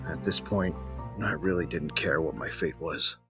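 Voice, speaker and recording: A man's voice: a bass voice, moderate pace, a bit bright, faint music behind. A man playing a grieving, embittered bounty hunter who narrates his own story in an audio drama, brooding and terse. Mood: heavy, grieving.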